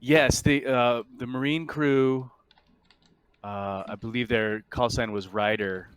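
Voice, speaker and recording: A man voicing a polite, earnest android crewman in a tabletop sci-fi game, speaking in a measured, halting, matter-of-fact way. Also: mid-range voice, fairly even intonation, smooth, no room sound, lightly acted. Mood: explaining.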